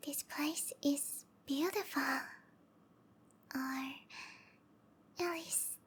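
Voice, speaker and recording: A woman voicing a sweet, obsessive snake-girl lover in a breathy, cooing whisper for an intimate ASMR roleplay. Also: high voice. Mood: sweet, doting. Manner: cooing, tender, possessive.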